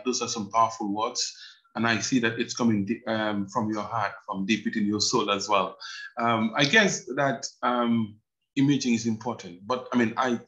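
A man's voice: medium pitch, bright, forceful tone, poor audio. A man moderating an online panel discussion over a video call, hesitant with many fillers.

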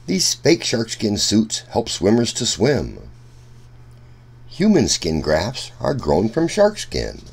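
A man reading a rhyming children's book aloud in a deliberate, sing-song storytelling manner. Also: fairly low pitch, wildly swooping pitch, creaky, slightly rough.

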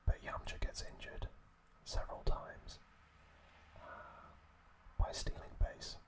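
A man speaks entirely in a soft, breath-only whisper. He takes his time, moving very slowly through an ASMR-style recap with frequent pauses. His delivery is halting and unhurried. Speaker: ASMR host.

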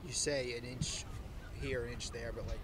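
A man's voice: mid-range voice, level tone, normal speed. Man, reporter, rambling casual questions, noisy outdoor setting. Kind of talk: interview questions.

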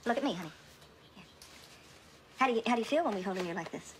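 An actress playing a forceful woman therapist in a film drama, insistent and badgering, with short repeated commands. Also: medium pitch, expressive, fast, natural voice. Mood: coaxing. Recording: clear background noise.

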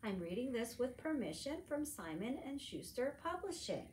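A middle-aged woman reads a picture book aloud to preschoolers in an expressive, teacherly manner, adding simple asides. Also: a little high, normal speed, slight background noise. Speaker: librarian storytime reader. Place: library room among bookshelves, indoors. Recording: roomy indoor echo.

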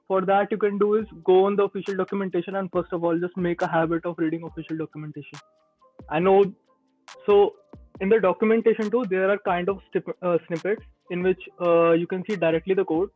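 Podcast guest: man in his twenties, Indian accent, high voice, explaining informally over a thin phone-like connection. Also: fairly even intonation, a little fast. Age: 20s. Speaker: podcast guest, Android developer. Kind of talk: casual advice in a video-call interview. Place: small indoor room. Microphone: earbuds on a video call. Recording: tinny phone-like call audio, faint music.

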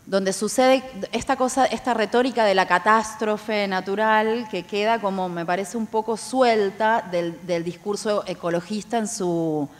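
A woman with an Argentine accent asks an informal academic question from the audience, with hesitant, roundabout phrasing. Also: medium pitch. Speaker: audience member asking a question. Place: large university auditorium. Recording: reverberant auditorium sound.

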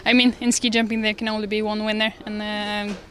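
A woman's voice: medium pitch, little pitch movement, fast, a bit bright, slight background noise. A young woman from Norway with a Norwegian accent, answering interview questions casually in a hesitant, good-humoured way. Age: young adult. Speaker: ski jumper being interviewed. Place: large indoor lobby. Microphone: handheld reporter microphone.

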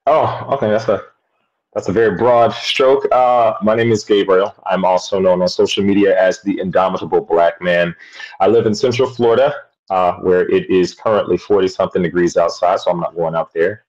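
Low-voiced man on a dull video call. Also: darkish tone. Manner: articulate, measured. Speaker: guest teacher. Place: home room. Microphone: webcam on a video call.

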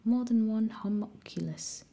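A woman's voice: fairly low pitch, flat delivery, moderate pace, gravelly, warm tone. A woman voicing a smug, bossy boy with a Cockney accent, whispering his lines in a condescending way. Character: surly boy with pudgy face, smug and bossy.

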